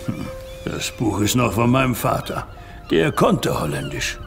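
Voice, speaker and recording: An actor playing a gruff, curt farmer father with a brusque, dismissive way of talking in an audio drama. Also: mid-range voice, wildly swooping pitch, unhurried, over music, heavily acted. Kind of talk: German audio drama dialogue.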